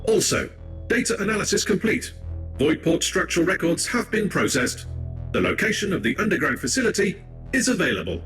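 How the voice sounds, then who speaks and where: An actor playing an efficient sci-fi shipboard tech officer in a video game, giving formal, clipped reports. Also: male voice, high-pitched, very animated, a little fast, over music. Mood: businesslike. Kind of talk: video game dramatic acting.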